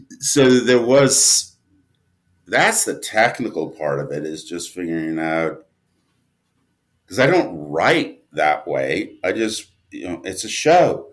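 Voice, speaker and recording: Low-pitched, slow-talking man, casual and rambling. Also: a bit bright. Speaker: interview guest, audio drama writer and producer. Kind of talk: casual reflective conversation.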